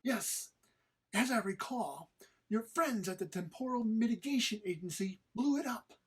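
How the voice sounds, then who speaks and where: Woman acting husky, haughty, sarcastic companion. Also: a little high, lively intonation, moderate pace. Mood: suspicious, dry. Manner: arch, formal, sarcastic. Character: haughty, exasperated, scolding companion, dry and disdainful. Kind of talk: informal script read-through of audio comedy.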